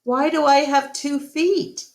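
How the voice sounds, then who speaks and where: Woman voicing a high-pitched, curious baby elephant girl. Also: steady tone. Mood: curious, pleading. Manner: slow, pleading questions. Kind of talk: children's storybook read-aloud.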